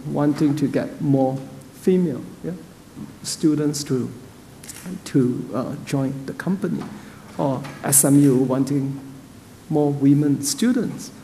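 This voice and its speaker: Guy moderating a panel, a bit high-pitched, easygoing and chatty, long echo in the hall. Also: gravelly, mellow, slight background noise. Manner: conversational, chuckles while telling anecdotes. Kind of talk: panel discussion. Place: event hall.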